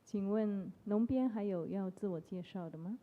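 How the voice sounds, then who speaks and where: A woman acting as emcee at a temple ceremony, making formal, measured announcements. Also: mid-range voice, lo-fi sound, a little hiss or hum.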